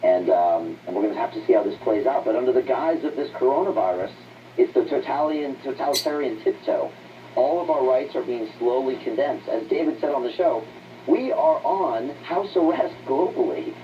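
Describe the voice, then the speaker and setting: A man's voice: mid-range voice, expressive, a little fast. Man, impassioned interview talk, earnest, emphatic repetition, far from mic, dull replayed sound. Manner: earnest, emphatic, repeats phrases for weight. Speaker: interview guest. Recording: distant, muffled, noisy playback through a speaker.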